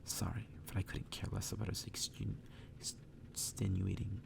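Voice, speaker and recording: Man, deep pitch, heavy vocal fry, soft whispered ASMR narration, hushed storytelling. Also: monotone, moderate pace, background noise. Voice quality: breathy whisper, gravelly low voice. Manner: soft, hushed reading aloud. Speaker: ASMR reader.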